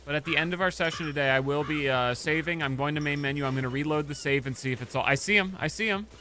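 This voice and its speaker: A male streamer chatting casually with his live chat while playing a game, swinging from mumbled asides to loud outbursts. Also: a little high, quick pace. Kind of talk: casual gaming livestream chat.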